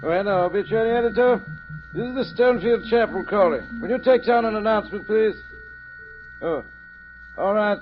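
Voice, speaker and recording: Old radio drama, dull recording: male actor as prim, polite funeral chapel clerk on the phone, high-pitched, formal. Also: brisk, long pauses, lightly acted. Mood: brisk, courteous. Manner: courteous, formal. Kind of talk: 1950s radio drama acting. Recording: muffled vintage radio recording, music behind.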